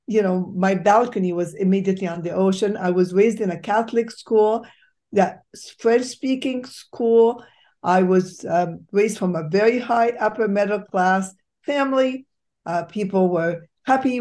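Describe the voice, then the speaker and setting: A middle-aged woman from Egypt recounts her life in an eager, emphatic way. She pauses on fillers, restarts sentences, and leans hard on key words as a podcast host and doctor. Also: mid-range voice, a little mellow. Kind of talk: conversational storytelling interview.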